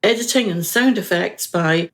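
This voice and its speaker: A woman's voice: fairly low pitch, normal speed, fairly bright tone. A woman giving a scripted reading of programme credits. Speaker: credits reader.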